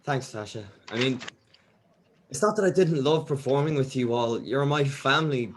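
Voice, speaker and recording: A man's voice: medium pitch, animated, a little hiss or hum, natural voice. Man playing an earnest, hesitant young musician. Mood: earnest, apologetic. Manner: halting, earnest. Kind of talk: table read of a pilot script.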